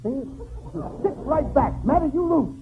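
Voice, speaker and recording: A man performing in a 1940s radio comedy sketch, bossy and quick to object, on a tinny old broadcast recording. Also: very high voice, lively intonation, background noise.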